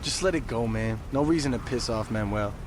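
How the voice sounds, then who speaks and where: A man's voice: mid-range voice, a little fast, close to an everyday voice. A man playing a callous, impatient street gangster in a video game, dismissive and foul-mouthed. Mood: cold, dismissive. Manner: dismissive, swearing. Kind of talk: video game cutscene acting. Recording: very noisy street with car sounds.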